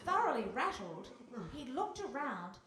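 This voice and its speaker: A woman's voice: high-pitched, big pitch swings, measured pace. A woman narrating a scripted fairy-tale radio play live on stage. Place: live stage in a hall with audience. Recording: echoey hall, faint noise.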